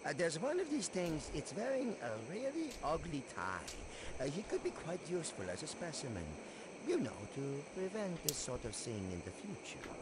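A self-important, fussy scientist from a video game cutscene. The voice runs high and swoops around pompously, with clear noise behind it. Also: male voice, big pitch swings, normal speed. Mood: pleading, indignant.

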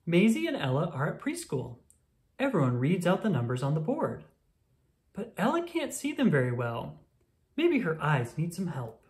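A woman narrates a picture book for young children. Her voice sits low for a woman, with lively rises and falls, and she paces the sentences clearly. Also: normal speed. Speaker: storybook reader. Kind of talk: children's read-aloud narration.

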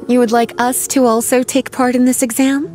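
A woman's voice: fairly high pitch, level tone, normal speed, warm tone, soft background music, lightly acted. A woman voicing a poised, teasing witch mentor in a video game, with a languid, coy manner. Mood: musing. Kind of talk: video game dialogue acting.

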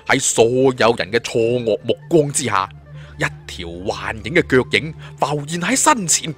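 A man narrating an adventure tale in as a theatrical, expressive audiobook storyteller. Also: medium pitch.

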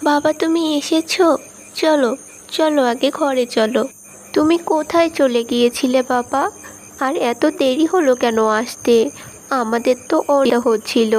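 A woman's voice: high voice, normal speed, a little mellow. A woman voicing a sweet, dutiful young daughter in a village cartoon, with an earnest, childlike manner. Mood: eager, questioning. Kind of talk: Bengali moral-tale cartoon dub.

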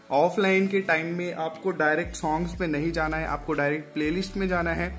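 Higher-pitched Indian man giving tech tutorial. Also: fairly bright tone. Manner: conversational, repeatedly addressing viewers as guys, repeats instructions. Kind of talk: casual step-by-step phone tutorial.

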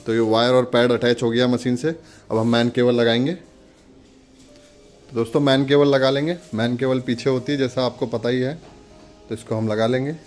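A man's voice: medium pitch, flat delivery, normal speed, long pauses, a little mellow. Indian man demonstrating, music behind. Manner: instructional, addresses viewers as friends. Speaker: product demonstrator. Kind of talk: casual step-by-step demonstration.

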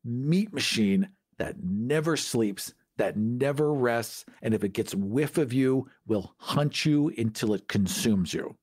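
Mid-pitched man in casual podcast chat. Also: animated, slow, few pauses, a little mellow, slightly rough. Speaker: podcast co-host. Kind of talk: casual chat about tabletop game monsters.

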